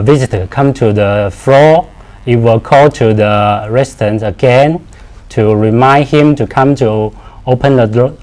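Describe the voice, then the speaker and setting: A man in his thirties giving a product training presentation, speaking haltingly with repeated words and simple, non-native English phrasing. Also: medium pitch, a little mellow.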